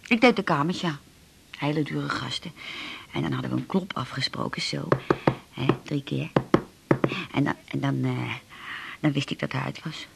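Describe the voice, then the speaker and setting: A woman's voice: very deep voice, normal speed, creaky, long pauses, very noisy, full character voice. A woman playing a chatty, down-to-earth working-class former chambermaid in a radio drama, telling stories in an earthy, effusive, rambling way. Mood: nostalgic, chatty.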